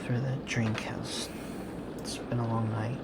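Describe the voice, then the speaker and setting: A man playing a gentle, guilt-ridden serial killer boyfriend and father in an intimate ASMR roleplay, speaking softly and hesitantly, with apologies. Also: mid-range voice, level tone, heavy background noise, lightly acted. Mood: weary, grateful. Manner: hesitant, halting, apologetic, soft-spoken.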